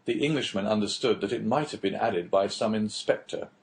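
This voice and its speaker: A male audiobook narrator with a fairly low voice. He reads literary prose in a measured way, phrasing each clause clearly as he tells the story.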